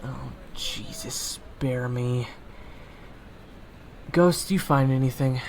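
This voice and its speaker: A man's voice: mid-range voice, drawn-out words, creaky, a little mellow, a little hiss or hum. A young man performing an audio roleplay in a casual, wry manner.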